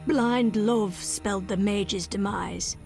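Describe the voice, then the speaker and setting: An actress playing a solemn, cryptic female village elder in a video game, speaking in measured, archaic phrases. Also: medium pitch, gravelly, a little mellow, faint music behind. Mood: grave storytelling.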